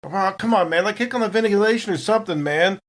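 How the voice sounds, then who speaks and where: A man's voice: high voice, fast, close to an everyday voice. A man playing a whiny, restless male patient in a tabletop game, complaining in repetitive slang. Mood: whiny, uneasy. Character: whiny, panicky male patient Wild Bill, restless slacker.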